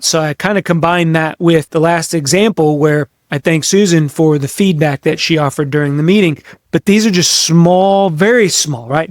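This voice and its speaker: A man with a somewhat high voice talks as a podcast host giving practical leadership advice. He is conversational yet instructive, pressing on important words to drive points home. The sound is dry and close, with very little room in it. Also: no echo. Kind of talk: practical business advice talk to camera or mic.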